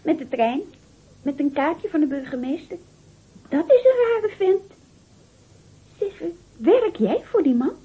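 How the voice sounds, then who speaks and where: An actress playing a brisk, no-nonsense bride-to-be in a radio play, scolding and questioning in exasperation. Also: female voice, high voice, animated. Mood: nagging, suspicious. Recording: thin, narrow-band old radio recording.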